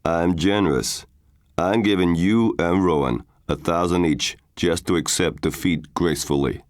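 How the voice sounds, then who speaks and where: A man acts out a crime boss with an extremely low voice, commanding and full of slow menace. He speaks deliberately, like a villain in an audiobook thriller who fixes fights. The sound is close and dry. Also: no echo. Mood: smug.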